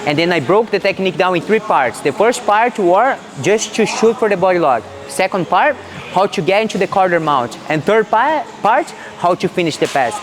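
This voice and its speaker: High-voiced young Brazilian man, coaching. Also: expressive, moderate pace, faint music behind. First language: Portuguese. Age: 20s. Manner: earnest, encouraging, repeats points for emphasis. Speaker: jiu-jitsu instructor and vlogger. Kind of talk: casual instructional talk to camera and class. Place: large indoor martial arts gym. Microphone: small mic clipped to chest.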